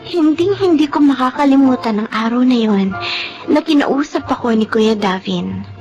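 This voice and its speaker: A woman playing an insecure but tender adopted younger sister in a Filipino radio drama. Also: on the high side. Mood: reflective, grateful.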